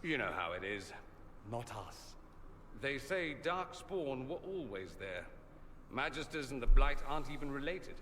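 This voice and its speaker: An actor voicing a witty, self-assured fantasy mage in a video game, with a theatrical, sardonic manner. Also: male voice, medium pitch, very animated, a little slow, pushed and bright, in a large hall, a little hiss or hum. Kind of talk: video game character acting.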